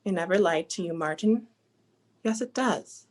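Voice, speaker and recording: A woman playing Helen, a gentle companion, soothing and earnest in a 1940s-style radio drama. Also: lowish voice, moderate pace, close to an everyday voice. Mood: reassuring. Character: Helen, gentle, reassuring companion of troubled musician.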